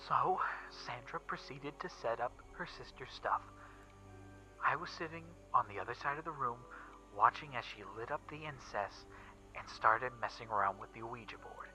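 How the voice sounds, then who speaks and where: A man playing a shaken young witness recounting an occult horror in a first-person story reading, halting and emphatic, with stammers and repeats. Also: mid-range voice, sharp tone. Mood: hushed, dread. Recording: muffled recording with background music. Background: eerie background music.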